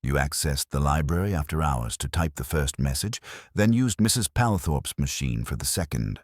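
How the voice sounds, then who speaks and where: Radio mystery inspector, acted by a man: extremely low, measured, politely relentless, dry studio sound. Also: expressive, a little mellow, no room sound. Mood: relentless courtesy. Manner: measured, conversational, courteous. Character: mild, relentlessly courteous male police inspector, quietly ironic.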